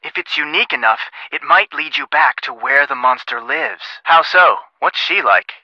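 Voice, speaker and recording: An actor playing an easygoing, sensible young American detective in a video game, talking in a wry, conversational way. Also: male voice, fairly high pitch, animated, moderate pace, sharp tone, no echo, lo-fi sound, heavily acted. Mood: thoughtful. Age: young adult. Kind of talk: video game phone dialogue.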